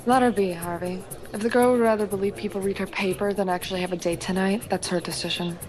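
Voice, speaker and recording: A woman's voice: mid-range voice, fairly even intonation, fast talker, over music, natural voice. Sardonic, biting teenage girl played by a woman. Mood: scornful. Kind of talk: audio drama acting.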